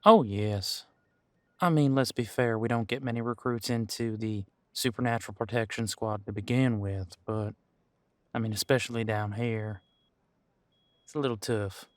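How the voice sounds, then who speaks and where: A man's voice: lowish voice, moderate pace, dry recording, lightly acted. A man playing a friendly, long-serving supernatural squad cop in an audio roleplay, chatting in an easygoing, folksy, slightly hesitant way. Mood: cordial, chatty. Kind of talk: conversational audio roleplay to an unseen partner.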